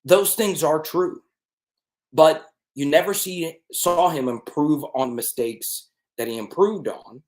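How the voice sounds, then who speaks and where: A man's voice: medium pitch, darkish tone. A man hosting a college football live stream, talking straight to viewers and often checking in with "okay?". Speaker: sports channel host. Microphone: desk mic with changed settings.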